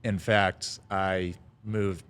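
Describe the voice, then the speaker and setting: A middle-aged man with a very low voice tells his story in a relaxed interview. He talks haltingly, filling gaps with "uh" and "um." He lets out an easy chuckle here and there. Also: smooth. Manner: halting, lots of "uh" fillers, chuckles now and then. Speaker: restaurant founder, podcast guest.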